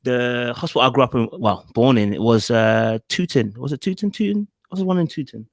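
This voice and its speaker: A man in his thirties with a South London accent, talking in a relaxed, slangy way as a podcast guest on a video call. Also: mid-range voice, fairly quick, vocal fry, no room sound, studio quality. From England. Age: 30s. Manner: relaxed, slang-heavy, says bro a lot. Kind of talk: casual interview over video call. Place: home room with shelves behind. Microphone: desk podcast mic on arm, earphones.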